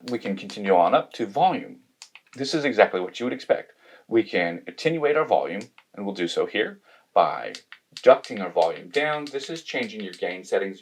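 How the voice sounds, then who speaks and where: Male tech reviewer, lower register, methodical hands-on demo delivery. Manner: methodical, step-by-step explaining. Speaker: tech reviewer demonstrating gear. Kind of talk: hands-on product demonstration.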